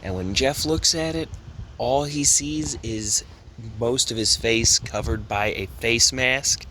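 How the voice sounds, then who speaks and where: A man narrating an amateur horror story in a measured storytelling manner, with slight stumbles. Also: medium pitch, bright, forceful tone, a little hiss or hum. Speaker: narrator and voice actor of a fan horror dub.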